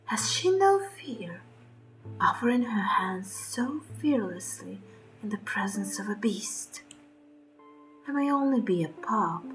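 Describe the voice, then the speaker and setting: Horror game narrator, frightened young creature, played by a woman: mid-pitched, slow, breathy, dramatic, guarded. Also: a bit bright, low-quality recording. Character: frightened, wary young beast pup narrating, snarling, defensive.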